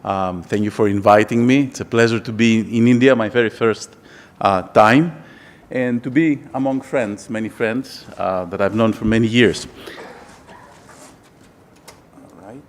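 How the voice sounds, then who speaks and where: A man giving an academic lecture as an invited professor, measured and explanatory, with frequent fillers. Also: lowish voice. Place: large lecture hall. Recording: echoey hall with faint ambience.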